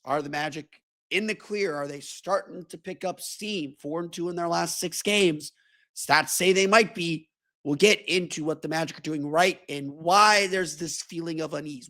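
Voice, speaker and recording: A man with a hoarse voice, hosting a casual sports podcast and often repeating words as he thinks aloud. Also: on the high side, pushed and bright. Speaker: podcast host and senior writer.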